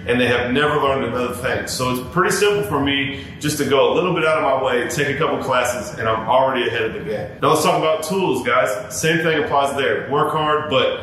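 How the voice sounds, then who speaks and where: A man's voice: fairly quick, few pauses, a bit bright. A man in his thirties, a barber giving earnest advice straight to camera in a casual, repetitive way, addressing viewers as guys. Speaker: barber and YouTuber. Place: home barber studio, indoors. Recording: very echoey room with faint rumble.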